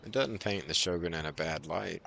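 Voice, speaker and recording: A man's voice: sits low, brisk, creaky, heavy background noise. A man streaming a video game and reading its text aloud.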